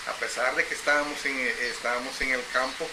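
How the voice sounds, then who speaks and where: Middle-aged man, mid pitch, reflective, audible background noise. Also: steady tone. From El Salvador. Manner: reflective, unhurried, repeats phrases. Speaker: interview guest, agricultural engineer. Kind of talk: personal storytelling in an interview.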